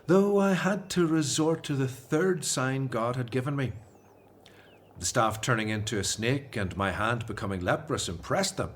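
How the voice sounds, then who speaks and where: An actor playing an earnest, devout Hebrew prophet in a radio drama, measured and solemn. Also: male voice, mid-range voice, lively intonation, a little hiss or hum, close to an everyday voice. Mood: solemn recounting.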